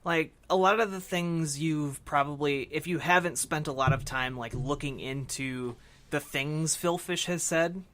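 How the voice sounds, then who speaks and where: A man with a fairly high, very bright voice talks casually as a podcast co-host. He discusses film in a halting, wandering way, feeling out his points and hedging as he goes.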